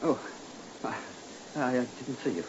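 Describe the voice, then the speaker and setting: A man's voice: mid-range voice, animated, slow. An actor playing a despairing, hesitant man crushed by his troubles, in old-time radio drama style. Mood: subdued, nervous. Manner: hesitant, stammering, pleading. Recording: muffled, noisy old radio recording.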